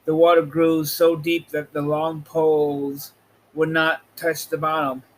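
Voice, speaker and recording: A man hosting and reading a storybook aloud in a halting, amateur way, with casual asides. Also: fairly high pitch, monotone. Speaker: host reading a book aloud. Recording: noticeable background noise.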